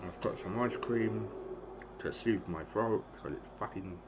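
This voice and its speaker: A hoarse man in his thirties with a lowish voice rambles through play-by-play of a wrestling game on his stream, stopping often to clear his throat. The audio is dull and lo-fi, with a steady hiss of noise underneath. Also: a little slow. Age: 30s.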